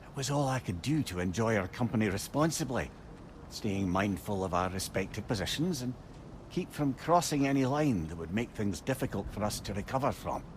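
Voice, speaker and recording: A man's voice: fairly low pitch, lively intonation, fairly quick, natural voice. An actor playing a witty, wistful old male advisor with a Scottish accent, telling stories lyrically and wryly in a video game. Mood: reflective. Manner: lyrical, wry, conversational. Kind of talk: storytelling video game dialogue. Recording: ambient noise behind voice.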